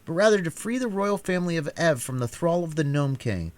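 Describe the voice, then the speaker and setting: A gracious young girl ruler from a storybook, voiced by a man, lifted above his own voice. She speaks in a composed, quietly firm way. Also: on the high side, lively intonation, brisk, pitched above the performer's own voice, close to an everyday voice.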